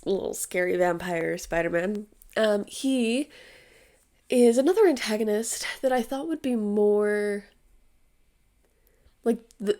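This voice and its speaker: A woman's voice: mid-range voice, a little mellow. A young woman with a cold, sniffly and tired-voiced, chatting casually about a film as a YouTube host, thinking aloud with fillers and restarts. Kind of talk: casual movie review.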